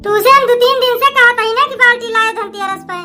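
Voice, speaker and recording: A woman voicing a nagging, complaining young village wife in an Awadhi cartoon comedy, with a shrill voice and an Awadhi accent. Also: brisk, over loud music. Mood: angry scolding. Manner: complaining, scolding.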